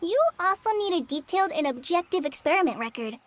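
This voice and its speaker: A woman's voice: very high voice, animated, fast talker, background noise. A woman voicing a timid, earnest young girl in a video game, with a hesitant, stammering, anxious manner. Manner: hesitant, stammering, gentle and worried.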